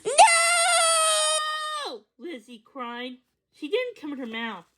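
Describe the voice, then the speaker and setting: Woman reading a kids book out loud, high voice, slow n expressive, stumbles a bit. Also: heavy background noise. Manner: expressive, halting with small stumbles. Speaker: storybook reader. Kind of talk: read-aloud children's story narration.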